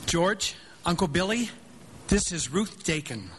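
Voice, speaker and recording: A breezy young American man in a stage radio play. His high voice is excitable and trips over words, in a reverberant hall with faint noise. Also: measured pace. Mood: cheerful, flustered. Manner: breezy, stammering when flustered. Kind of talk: live radio drama acting.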